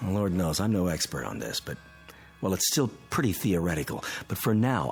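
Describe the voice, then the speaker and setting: An actor playing an analytical scientist friend in a radio drama, explaining strange phenomena in a deliberate, instructing manner. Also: male voice, sits low, slightly rough, heavily acted. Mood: musing, methodical. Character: calm analytical male physicist friend explaining a mystery. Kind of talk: modern radio drama acting.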